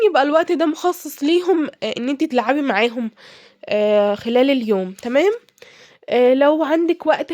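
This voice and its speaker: Casting: young Egyptian woman cooking vlogger, stuffy from a cold, brisk and chatty, dry close sound. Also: high voice, darkish tone, no room sound. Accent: Egyptian Arabic. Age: young adult. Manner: chatty, many fillers and restarts. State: congested from a cold. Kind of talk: casual advice while cooking.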